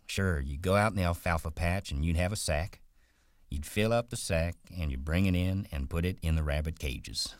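A man voicing a ranch worker who talks in a rustic drawl, brisk and rolling. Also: deep voice, dry recording, studio quality, natural voice. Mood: dreamy. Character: wiry male ranch worker, guarded and dreaming.

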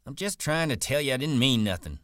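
A man voicing a small, pugnacious ranch bully with rough, combative rural American speech in a story reading. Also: medium pitch, fairly even intonation, quick pace, sharp tone, no room sound, studio quality, voice pushed up, close to an everyday voice. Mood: defensive. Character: small pugnacious hot-tempered young ranch bully, welterweight boxer.

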